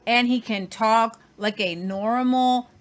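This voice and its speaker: Animated woman co-hosting a chat show. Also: a little high, slow. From Southern Ohio. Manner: animated, repeats herself for emphasis. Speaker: co-host. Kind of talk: casual chat.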